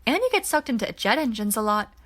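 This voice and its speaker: A woman's voice: a little high, sing-song, fast talker, fairly bright tone. A woman voicing a good-natured, practical teenage boy in an audiobook, speaking casually and chattily. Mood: practical, joking.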